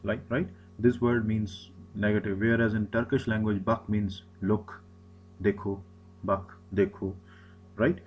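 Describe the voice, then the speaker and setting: A man's voice: on the low side, level tone, measured pace, muffled recording, a little hiss or hum. A man, an Urdu speaker, giving a recorded lecture, often repeating himself and restarting phrases. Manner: repetitive, many restarts and fillers.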